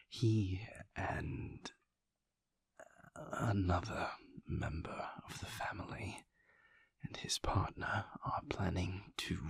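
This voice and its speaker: Man acting a low, breathy, gravelly vampire. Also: no echo, full character voice. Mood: composed, dismissive. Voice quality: breathy, gravelly near-whisper. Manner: formal, measured, clipped, sighing diction. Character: mysterious, guarded male vampire, formal and world-weary. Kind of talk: intimate audio roleplay acting.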